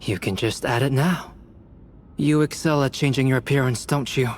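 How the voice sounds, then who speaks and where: A man's voice: medium pitch, a little hiss or hum, lightly acted. Man as a composed, analytical young game alchemist. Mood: coolly challenging. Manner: measured, formal, calm. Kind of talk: video game voice acting.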